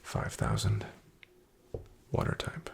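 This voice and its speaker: A man playing a shady, guarded back-alley card dealer in a soft-spoken ASMR roleplay, half-whispering his clipped, unhurried patter with a gravelly creak. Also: natural voice. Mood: cool, businesslike.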